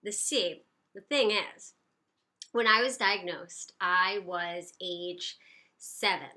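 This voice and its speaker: Bright, chatty woman in her late thirties. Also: fairly low pitch, takes it slowly. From United States. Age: 30s. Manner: upbeat, chatty, many fillers. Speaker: personal storyteller. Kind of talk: casual personal storytelling to camera.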